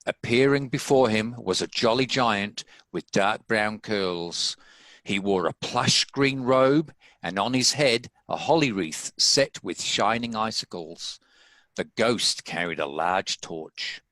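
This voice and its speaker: A man's voice: lowish voice, takes it slowly, fairly bright tone, no room sound. A man reading scripted story narration as the narrator of a table read.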